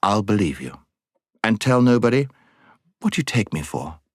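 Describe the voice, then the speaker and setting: A man's voice: on the low side, sing-song, moderate pace, slightly rough, dry recording, clean studio sound. A man voicing a questioning, easily indignant schoolgirl with an English accent in an audiobook. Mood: eager. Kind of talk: audiobook character voice.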